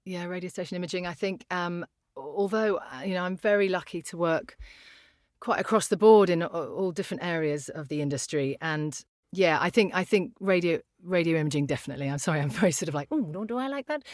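A British woman with a very low voice chats about her voiceover work. She talks in a loose, friendly way, full of fillers, restarts and small laughs. The recording is dry and close. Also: brisk, dry recording. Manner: chatty, laughs often, many fillers and restarts. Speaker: voiceover artist guest. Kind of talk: casual interview chat.

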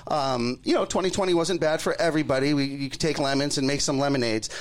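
Man, podcast host, effusive, enthusiastic, casual chat, slightly high voice. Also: quick pace.

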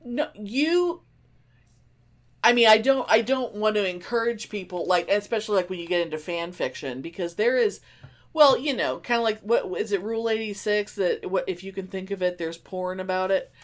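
Animated, effusive woman chatting. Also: mid-range voice, normal speed. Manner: effusive, excitable, emphatic. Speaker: podcast co-host. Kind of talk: casual chat.

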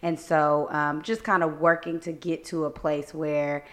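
Slightly hoarse young woman host. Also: low voice, little pitch movement, unhurried. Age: young adult. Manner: upbeat, laughs easily, reflective. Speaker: podcast co-host. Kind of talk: casual faith podcast chat.

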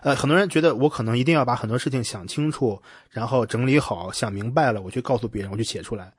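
A man's voice: medium pitch, fast talker, slightly rough, no room sound. A man hosting a casual podcast conversation, explaining his thoughts in a reflective way. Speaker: podcast host.

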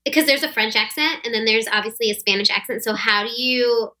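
A woman's voice: on the high side, fast talker, sharp tone. A woman hosting a podcast, asking eager and curious questions in a casual chat. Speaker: podcast host.

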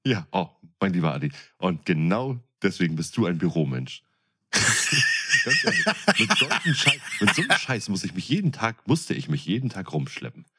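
A man's voice: deep voice, big pitch swings, normal speed, noisy background. A man in his thirties chatting casually as a podcast co-host, with a blunt, colloquial way of talking. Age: 30s. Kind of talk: casual chat.

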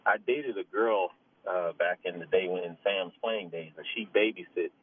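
A man's voice: low-pitched, normal speed, breathy edge. A middle-aged or older American man from Pittsburgh calling a sports radio show on the phone, chatting about football in a rambling way. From Pittsburgh, USA. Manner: rambling, peppered with "you know". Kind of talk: casual football talk. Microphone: telephone. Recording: tinny, noisy phone line.

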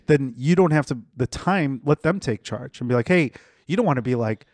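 A man's voice: mid-range voice, animated, a little fast, a little mellow, no room sound. A man hosting a casual podcast chat, who asks probing questions and teases his guest. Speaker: podcast host.

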